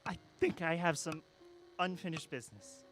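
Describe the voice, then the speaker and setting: A man's voice: on the high side, moderate pace, close to an everyday voice. A man playing a troubled, regretful mortal in a radio drama, speaking with earnest doubt and emotion. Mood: regretful pleading. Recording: voice under music.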